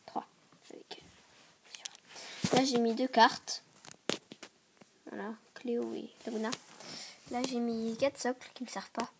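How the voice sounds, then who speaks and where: A woman with a stuffy voice from a cold, rambling quickly through a casual show-and-tell vlog and often dropping to a whisper. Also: a little high. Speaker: vlogger showing a toy dollhouse. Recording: clear background noise and clicks.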